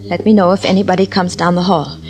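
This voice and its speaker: An actress playing a young, desperate murderess in a theatrical old-time radio mystery. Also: female voice, fairly low pitch, level tone, fast, a little mellow, close to an everyday voice, poor audio. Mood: hushed, uneasy. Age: young adult. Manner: melodramatic, theatrical. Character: young murderess, frightened, then defiant and hysterical.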